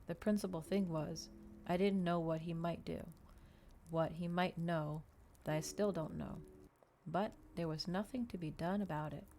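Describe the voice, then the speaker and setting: A woman streamer reading a story aloud in a soft, gentle, unhurried ASMR style, close to the microphone. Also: lowish voice, fairly even intonation, a little hiss or hum.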